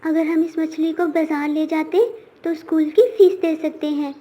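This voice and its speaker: A woman's voice: high voice, little pitch movement, moderate pace, warm tone, lightly acted. A woman voicing a young boy bird, a caring big brother, in a children's cartoon. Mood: thoughtful.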